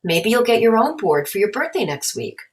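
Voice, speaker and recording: A woman's voice: on the high side, sing-song, normal speed, distant mic, pitched above the performer's own voice. A friendly young skateboarder boy from a storybook, acted out by a woman. He is upbeat and breezy, chatting casually like a good pal.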